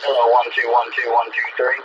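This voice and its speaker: A man's voice: medium pitch, little pitch movement, fairly quick. A man talking casually as a radio hobbyist over a two-way CB radio. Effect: two-way radio transmission. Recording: dull, very noisy CB radio reception. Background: loud radio static.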